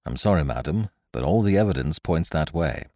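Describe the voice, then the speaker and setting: A man's voice: rumbling low, animated, brisk, darkish tone, dry recording, studio quality. A man voicing an impatient, matter-of-fact middle-aged police inspector. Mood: brisk.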